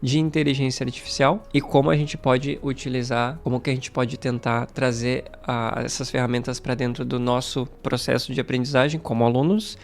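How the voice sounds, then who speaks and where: A man's voice: medium pitch, flat delivery, fairly quick, gravelly, hardly any pauses. A man with a Brazilian Portuguese accent who hosts as a language teacher, talking casually and emphatically to his viewers in a conversational way. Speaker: Portuguese teacher and YouTube channel host. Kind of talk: casual talk to camera, channel update.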